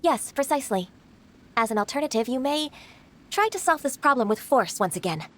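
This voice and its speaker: An actress playing an earnest young female official in a video game, speaking in a formal, measured and courteous manner. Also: high voice, lively intonation, slight background noise. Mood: stern, composed. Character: polite, solemn, earnest young official woman.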